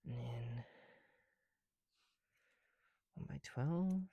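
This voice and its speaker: A man chatting casually in an ASMR style as a video creator, muttering softly and sometimes dropping into a whisper, with mild swearing. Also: medium pitch, unhurried, slightly rough, dry recording, muffled recording. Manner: casual, mildly profane. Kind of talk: ASMR, casual chat while building with bricks.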